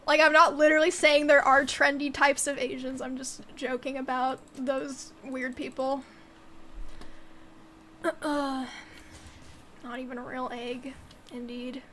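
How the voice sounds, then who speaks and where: A woman's voice: high voice, flat delivery, measured pace, pauses often, fairly bright tone, slight background noise. A young woman in her twenties whose first language was Mandarin, chatting casually on a game stream, giggling and filling her talk with "like" as she reads chat aloud.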